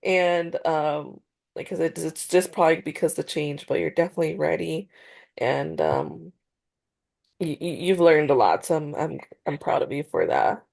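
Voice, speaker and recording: Low gravelly stuffy-nosed woman counselor, lots of ums and restarts, on a video call. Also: normal speed, a little mellow. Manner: hesitant, many fillers and restarts. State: congested, slightly nasal. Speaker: counselor in a practice session. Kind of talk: role-played therapy session.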